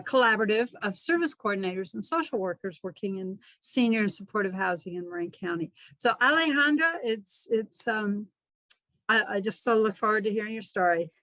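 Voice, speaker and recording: A woman's voice: mid-range voice, fairly bright tone, dry recording, rough recording. A woman hosting a video call panel, introducing speakers with enthusiasm and stumbling repeats. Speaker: panel host.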